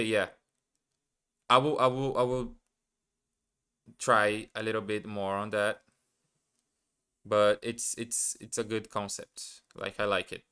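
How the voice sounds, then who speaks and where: A man with a Portuguese mother tongue speaks slowly and unhurriedly. His lowish voice is bright and crisp as he talks through word forms, hesitating and correcting himself along the way. He sounds like a learner studying live for viewers. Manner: hesitant, self-correcting, muses aloud. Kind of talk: thinking aloud while studying.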